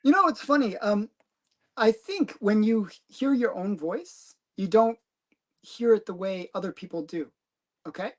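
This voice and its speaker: A woman's voice: medium pitch, animated, unhurried. Chatty giggling woman hosting a livestream. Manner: chatty, giggles often, rambling asides. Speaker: host of a comic collecting channel. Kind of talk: casual livestream chat.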